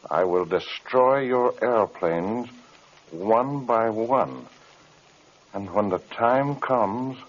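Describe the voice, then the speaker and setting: Husky, anxious radio-drama businessman. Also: fairly low pitch. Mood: grim reading. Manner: hesitant, halting businesslike talk. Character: anxious, flustered male airline executive. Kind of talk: old-time radio drama acting. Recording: muffled old radio recording.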